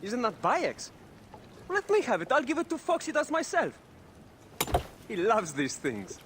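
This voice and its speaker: Pushy curious ancient sidekick, super high voice, game cutscene w background noise. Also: male voice, huge pitch swings, measured pace, heavily acted. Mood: eager. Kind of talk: dramatic video game cutscene acting.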